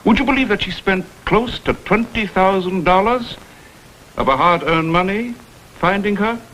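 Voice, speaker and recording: An actor playing a smooth, insinuating blackmailer who poses as a humble go-between, with florid, theatrical phrasing, in a vintage crime drama. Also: male voice, sits high, normal speed, a bit bright, poor audio. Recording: vintage TV soundtrack, faint noise.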